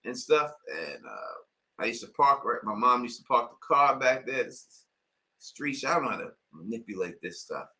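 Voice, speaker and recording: Middle-aged New man, live-stream host, bright edge, casual and wandering delivery. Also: mid-range voice, expressive, normal speed. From Queens, New York. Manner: rambling, lots of filler phrases.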